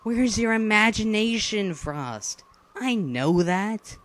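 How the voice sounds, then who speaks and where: A man playing a flamboyant, boastful, playful villain leader in an animated fantasy drama, theatrical and self-assured. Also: sits high, slow pace, full character voice. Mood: playful bossy. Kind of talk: scripted animated drama dialogue. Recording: background music under the voice.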